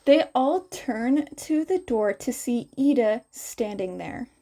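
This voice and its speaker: A woman's voice: on the high side, animated, slow pace, warm tone. A woman reading a story aloud as scripted narration. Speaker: podfic narrator.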